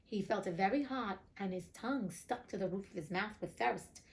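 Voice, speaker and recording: A woman's voice: medium pitch, normal speed. A woman reading a storybook aloud as host, breaking off for chatty, informal, joking commentary. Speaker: reader and host of a classics read-aloud show.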